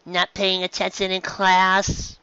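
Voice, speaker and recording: A woman's voice: medium pitch, completely flat delivery, takes it slowly, bright, forceful tone, clean tone, no room sound, lo-fi sound, voice pushed up. A woman voicing a loving, protective pegasus mother in a story, speaking in a tender but firm maternal way. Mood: maternal concern.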